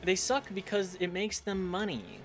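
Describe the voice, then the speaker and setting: Animated man chatting over a game. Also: high voice, unhurried. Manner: rambling, self-deprecating, animated asides. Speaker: game streamer. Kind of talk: casual chat while commenting on gameplay. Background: game music.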